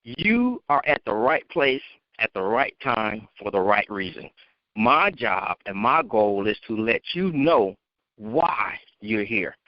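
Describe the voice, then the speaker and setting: A man's voice: lowish voice, big pitch swings, slow pace, no echo. A man giving an unscripted motivational talk on a conference call, addressing listeners directly and emphatically. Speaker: motivational speaker and author. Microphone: phone conference line. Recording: dry, dull lo-fi conference call.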